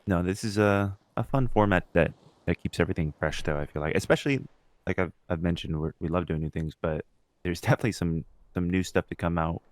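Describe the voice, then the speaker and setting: A man's voice: low-pitched, a little fast, no room sound. A young man co-hosting a podcast, chatting informally and swearing casually. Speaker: podcast co-host; substitute teacher.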